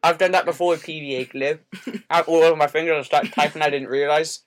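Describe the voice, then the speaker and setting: A man's voice: on the high side, steady tone, quick pace. A young man hosting a casual gaming podcast, stumbling on his words now and then and swearing freely. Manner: swears freely, stammers on restarts. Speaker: podcast host.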